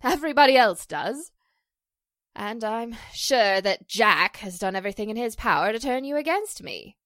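A woman playing a distressed, pleading stage actress in a 1940s-style radio drama, halting and emotional. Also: fairly high pitch, expressive, a bit bright, no echo, studio quality. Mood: hurt, subdued. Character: distressed stage actress accused of a publicity stunt, pleading, wounded.

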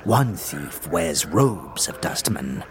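A very low, gravelly voice from a male actor for a gaunt, twitchy fantasy guard. He mutters in halting, inverted phrases that lurch wildly up and down, slow and solemn, as in an audio drama. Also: very animated, a crowd in the background, full character voice. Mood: grave, urgent. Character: spindle-thin twitchy dustman guard, terse and solemn.

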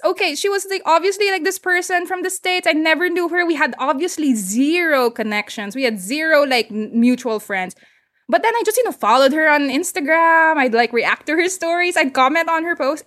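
A woman's voice: high-pitched, fairly bright tone. A woman from the Philippines with a Filipino accent, chatting as a podcast guest, giving encouraging advice and mixing Tagalog words into her English. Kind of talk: casual conversation.

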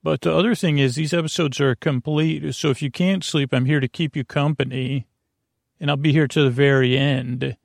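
A man with a slightly high, gravelly voice rambles through a bedtime monologue as a podcast host. He talks haltingly, wandering off and circling back to his point. The sound is dry, with no room around it. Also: fairly even intonation, dry recording. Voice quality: creaky.

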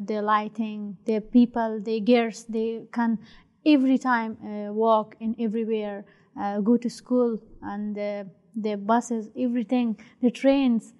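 A young woman from Afghanistan with an Afghan accent, speaking halting, hesitant English full of fillers as an interviewee. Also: a little high, warm tone. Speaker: interviewee, refugee.

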